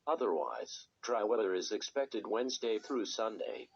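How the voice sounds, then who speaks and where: Synthetic man's voice for weather radio bulletins. Husky, impersonal, scripted, on a muffled lo-fi recording. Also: fairly low pitch, lively intonation. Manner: measured, impersonal synthetic delivery. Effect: synthetic text-to-speech voice on weather radio. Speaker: automated weather radio announcer. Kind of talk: scripted weather forecast reading.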